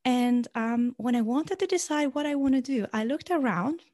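Bright-voiced woman from Iran, halting. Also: sits high, lively intonation, dry recording, studio quality. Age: young adult. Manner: halting, many fillers and restarts. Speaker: guest. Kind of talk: casual interview answer.

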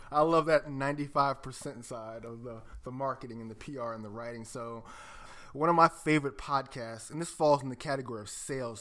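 A man's voice: medium pitch, fairly bright tone. A man co-hosting a podcast, chatting casually with enthusiasm and emphasis as he gives recommendations. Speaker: podcast co-host.

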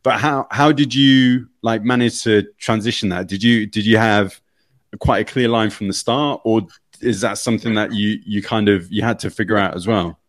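A man's voice: lowish voice, fairly even intonation, normal speed. A man hosting a podcast interview, asking long questions that he keeps rephrasing and correcting. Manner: rambling, self-correcting questions. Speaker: podcast host. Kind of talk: conversational interview.

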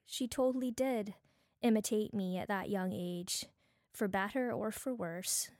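A woman's voice: medium pitch, a little slow, darkish tone, dry recording, clean studio sound. Earnest woman with a Bajan accent. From Barbados. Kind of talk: devotional teaching talk from notes, with personal anecdotes.

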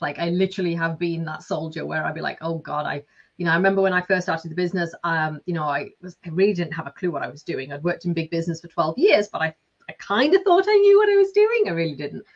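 Woman, Lancashire accent, forties, chatty, filler-heavy, slightly muffled audio. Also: on the low side. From Lancashire, England. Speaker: business coach, guest. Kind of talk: casual interview talk. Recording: slightly dull lo-fi sound.

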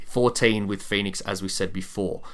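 Low-voiced man hosting a sports podcast. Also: steady tone, moderate pace. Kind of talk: podcast chat with prepared stats quiz.

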